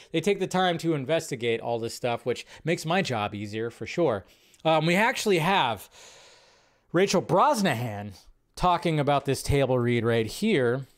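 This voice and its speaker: A man hosting a movie news show, giving casual, rambling fan commentary in an informal, thinking-out-loud way. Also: fairly high pitch.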